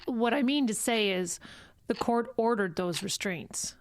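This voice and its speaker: A woman acting in an audio drama as a formal, patient psychologist with a measured manner. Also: fairly high pitch, a little hiss or hum. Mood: measured, firm. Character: composed, formal female court psychologist.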